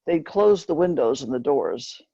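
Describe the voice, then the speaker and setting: A woman reads a narrative aloud in plain, measured storytelling phrases, like an author at a book reading. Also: deep voice, animated, creaky, mellow, slightly rough. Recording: dull, roomy lo-fi recording.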